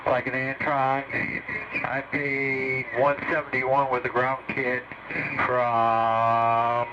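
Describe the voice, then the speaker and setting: A man chatting casually over CB radio and giving hobby tips in a halting, folksy, rambling way. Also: medium pitch, monotone. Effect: heard over CB radio. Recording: dull, noisy CB radio transmission.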